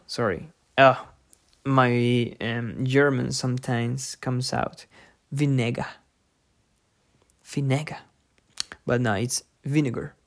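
A podcast host giving an informal lesson from a book, thinking aloud haltingly and repeating difficult words. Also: medium pitch. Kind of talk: informal lesson read from a book with personal asides.

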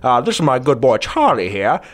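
Man playing a flustered, stammering detective, animated pitch. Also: moderate pace. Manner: stuttering, nervous, evasive. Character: flustered, stammering, shady male private detective. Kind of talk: improvised radio drama parody.

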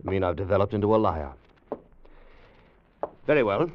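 An actor playing a confident, driven scientist inventor in a 1950s radio drama, speaking with assured, dramatic emphasis. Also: male voice, on the low side, expressive, moderate pace, natural voice. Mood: insistent, assured. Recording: muffled old radio broadcast, faint noise.

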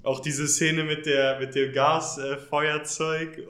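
A man's voice: a little high, little pitch movement, slow, a bit bright. A young man co-hosting a podcast in casual, slangy chat. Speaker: podcast co-host.

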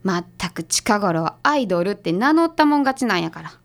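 An actress as a sharp-tongued Kansai woman, very animated. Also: mid-range voice. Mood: skeptical. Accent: Kansai Japanese. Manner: blunt, brisk retorts. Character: sharp-tongued, skeptical woman detective's assistant. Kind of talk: comedic radio drama acting.

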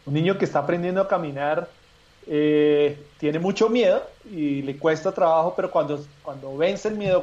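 A man's voice: fairly high pitch, darkish tone, muffled recording. A male guest speaking reflectively with frequent hesitations over a remote call. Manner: reflective, hesitant, many "eh" pauses. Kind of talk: casual chat.

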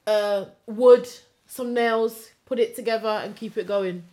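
A woman co-hosting a podcast, chatting in a relaxed, easygoing way with lots of agreeing yeahs. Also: on the high side, measured pace. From London, UK. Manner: relaxed, easygoing, fills in with yeah and mm-hmm. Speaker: podcast co-host, personal trainer. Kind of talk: casual chat.

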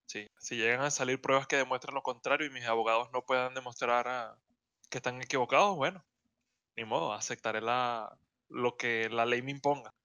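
Venezuelan man, podcast co-host; smooth bright tone, deadpan humor. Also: mid-range voice, brisk, dry recording. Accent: Venezuelan Spanish. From central Venezuela. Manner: dry, deadpan joking. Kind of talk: casual podcast chat.

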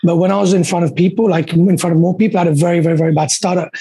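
Man, husky, gravelly, quick, earnest storytelling. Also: high voice, level tone, warm tone. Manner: quick, earnest, repeats words. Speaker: podcast guest, dentist and coach. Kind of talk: candid storytelling.

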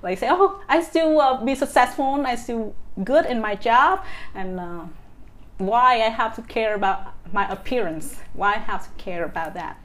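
A woman's voice: fairly high pitch, moderate pace. A woman in her thirties from Vietnam with a Vietnamese accent, speaking as an interview guest with many fillers and restarts. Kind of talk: casual interview.

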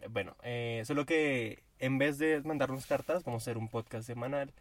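A young man hosting a podcast, talking in a loose, joking way with halting fillers, recorded inside a car. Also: mid-range voice, no room sound. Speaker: podcast host. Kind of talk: casual podcast chat.

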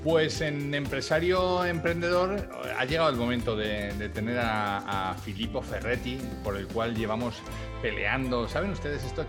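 Energetic, chatty podcast host, a man. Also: medium pitch. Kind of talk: casual interview hosting.

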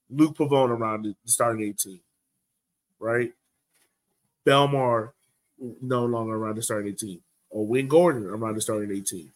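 A man co-hosting a sports podcast, talking casually and emphatically, pressing his point by repeating it. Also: mid-range voice. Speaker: podcast host.

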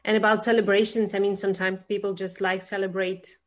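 A woman's voice: mid-range voice, level tone, a little fast. A woman from Russia, speaking as an interviewer in a casual conversation.

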